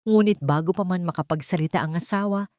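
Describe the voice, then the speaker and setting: A woman reads a dramatic scripted story in an emotive, measured way. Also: fairly low pitch, darkish tone, dry recording, studio quality. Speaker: story narrator.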